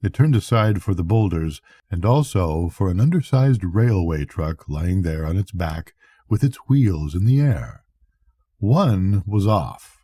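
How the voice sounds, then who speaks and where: Older man, very dark, mellow storytelling voice. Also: deep voice, big pitch swings, a little slow, breathy edge, no room sound. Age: older, a grandfather. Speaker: audiobook reader. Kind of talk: literary bedtime story narration.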